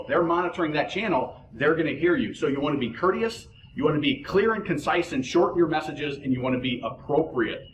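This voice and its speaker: A man's voice: a little high, roomy sound, a little hiss or hum, low-quality recording. A man giving informal, practical boating instruction, speaking plainly and directly to the listener as an instructor.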